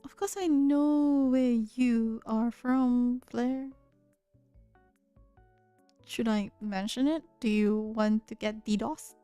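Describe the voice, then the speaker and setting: A woman's voice: fairly high pitch, steady tone, slow, dark tone, smooth, no echo. A woman hosting a livestream, chatting casually with viewers at a desk microphone and often stammering over her words. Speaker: livestream host. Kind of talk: casual chat reading viewer messages. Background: faint background music.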